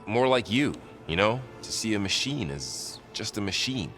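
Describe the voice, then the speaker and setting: An earnest, animated young warrior. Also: male voice, fairly low pitch, normal speed, music in the background. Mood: earnest, sheepish. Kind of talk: naturalistic video game acting.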